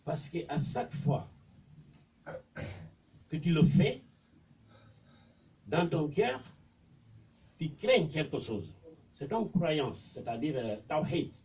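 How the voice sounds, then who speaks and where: A man's voice: on the high side, expressive, slow, pauses often, a little mellow. Senegalese man preaching from a distance. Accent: Senegalese French. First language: Mandinka. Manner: conversational and repetitive, recites Arabic verses. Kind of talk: informal religious talk. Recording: distant, dull lo-fi with faint noise.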